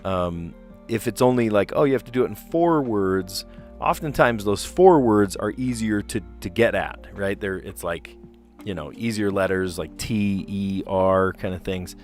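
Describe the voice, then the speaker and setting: A man's voice: lowish voice, a little slow, a little mellow, soft background music. A man in his forties, livestreaming himself solving word puzzles and thinking aloud, easily amazed and chuckling, close to a desk microphone at home. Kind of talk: casual livestream commentary. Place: home room, indoors. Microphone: close podcast mic on a desk.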